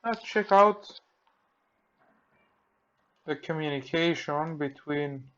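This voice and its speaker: A man with a Greek accent, speaking English as a second language, walks through a repair and thinks aloud in halting, simple sentences. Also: on the high side, a bit bright, muffled recording. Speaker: repair technician. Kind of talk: hands-on repair tutorial. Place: workbench.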